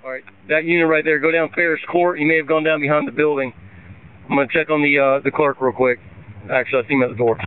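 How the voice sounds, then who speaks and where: A man's voice: on the high side, steady tone, fast talker, clean tone, dry recording. A man, a police officer, giving radio traffic over a police radio. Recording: dry, narrow police radio transmission.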